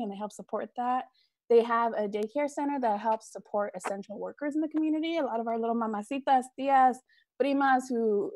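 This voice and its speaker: A woman's voice: on the high side, a little mellow, dry recording. An American woman chatting casually and effusively on a group video call about a fundraiser. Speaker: cast member presenting a charity fundraiser.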